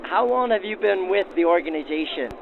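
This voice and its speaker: A man's voice: high voice, expressive, a little fast, vocal fry, noisy background. A man hosting and interviewing in a formal, descriptive old-time radio manner, with his voice filtered like a 1940s broadcast. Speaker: host and interviewer of a train excursion show. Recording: narrow phone-like vintage radio sound, music behind.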